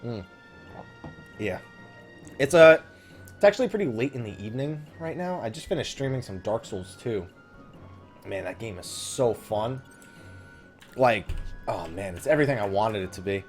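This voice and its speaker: A man's voice: fairly low pitch, lively intonation, moderate pace, long pauses, faint music behind. A man giving casual, rambling let's play commentary, informal and often correcting himself as he drifts into side thoughts. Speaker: gaming video host.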